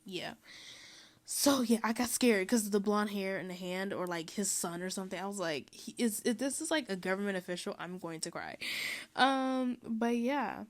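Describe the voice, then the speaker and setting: A young woman from Mexico giving a casual, rambling voiceover, full of "um" and "like" and quick laughs. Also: medium pitch. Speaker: vlogger.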